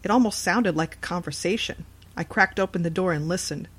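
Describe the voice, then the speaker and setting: Female narrator, slightly low pitch, scripted first-person horror storytelling, moderate pace. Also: brisk, breathy edge.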